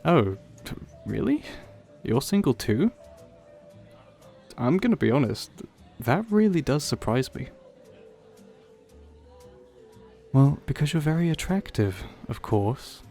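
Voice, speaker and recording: Man acting awkward, wistful young guy, mellow voice. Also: mid-range voice, very animated, measured pace, faint music behind. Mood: flustered, admiring. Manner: hesitant, rambling, self-deprecating. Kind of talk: audio roleplay, conversational acting addressed to listener.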